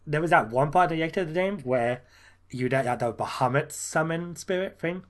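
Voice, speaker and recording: A man's voice: fairly high pitch, moderate pace. A man co-hosting a gaming podcast, talking casually, laughing often and trailing off mid-sentence. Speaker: podcast co-host.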